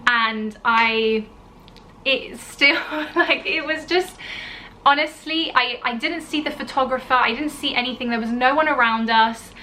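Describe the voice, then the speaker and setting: A woman's voice: high voice, a little slow, bright, forceful tone, slight background noise. A young woman, telling a personal story to camera in a chatty, gushing way, full of fillers like "you know". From born in Italy, grew up in the UK. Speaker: vlogger. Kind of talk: casual storytime chat to camera.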